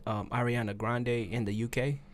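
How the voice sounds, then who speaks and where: A man's voice: mid-range voice, flat delivery, normal speed. Thirty-year-old man in casual discussion. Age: around 30. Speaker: podcast host.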